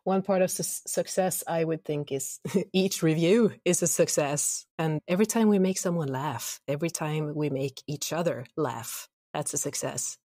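A woman's voice: sits low, normal speed, mellow, no echo, clean studio sound. A woman speaking casually as an interview guest about creative work. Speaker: audio drama creator, guest.

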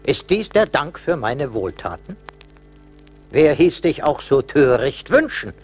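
An actor playing a wise, stern little forest spirit who chides and admonishes, in a German radio play. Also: male voice, a little high, big pitch swings, unhurried, darkish tone, faint music behind. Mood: indignant chiding. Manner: admonishing, rhetorical.

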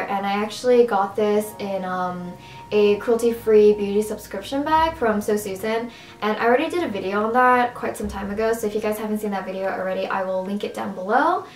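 Raspy-voiced young woman, chatty vlogger. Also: mid-range voice, echoey room, rough recording. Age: young adult. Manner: chatty, upbeat, casual with fillers. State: raspy, strained voice. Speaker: beauty vlogger. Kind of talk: makeup tutorial talk. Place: small room at home, indoors.

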